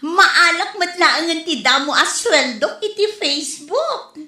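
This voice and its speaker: A woman's voice: extremely high pitch, animated, unhurried, pushed and bright, exaggerated voice. A woman with an Ilocano Filipino accent playing a dramatic, proud aspiring vlogger in a comedy audio drama. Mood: gleeful. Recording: roomy, echoing home recording.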